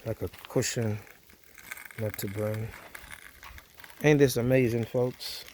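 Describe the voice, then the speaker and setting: A relaxed Jamaican man narrates his cooking vlog outdoors, voice sitting in an ordinary middle register. He rambles warmly between topics and drops "yeah, man" often. A light hiss of noise runs under the recording. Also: medium pitch, slow, low-quality recording. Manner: easygoing, chatty, peppered with "yeah, man". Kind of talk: casual vlog chat. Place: outdoors at a country wood-fire oven.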